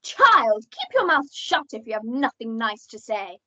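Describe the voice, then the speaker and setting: A woman's voice: fairly high pitch, sing-song, unhurried, sharp tone, a little hiss or hum, full character voice. A young English woman acting in an amateur radio play as a bossy, opinionated aunt recounting past events dramatically. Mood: scolding. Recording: dull lo-fi home recording.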